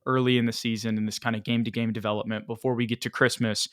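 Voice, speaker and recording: A man's voice: mid-range voice, level tone, fast, dry recording, studio quality. A man co-hosting a sports podcast, chatting casually and animatedly, laughing often and quoting others in playful voices. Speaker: podcast co-host.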